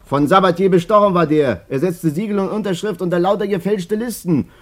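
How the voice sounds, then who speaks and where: An actor playing a wry, colloquial witness who emphatically recounts a case in a radio drama. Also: male voice, sits high, a little fast, close to an everyday voice. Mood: insistent. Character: animated male witness recounting a tangled fraud case.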